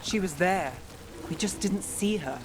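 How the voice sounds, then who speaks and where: Wry, guarded young female detective, played by an actress, fantasy game, mid-pitched, understated delivery over rain and street ambience. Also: a little slow, noisy background, lightly acted. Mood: focused, businesslike. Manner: dry, understated wit. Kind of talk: video game voice acting.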